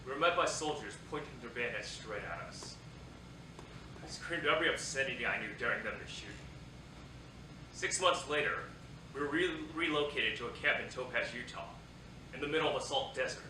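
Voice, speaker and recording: A man's voice: mid-range voice, lively intonation, brisk, lightly acted. A man playing a proud, bitter young Japanese American Second World War soldier in a staged reading, emphatic and declamatory. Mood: solemn. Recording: roomy, distant theatre recording, faint noise.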